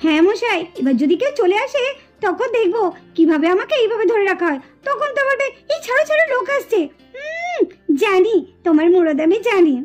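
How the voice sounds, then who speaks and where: A woman's voice: extremely high pitch, big pitch swings, fairly quick, soft background music. A woman voicing a young doll sweetheart in a puppet drama, pleading, coy and teasing.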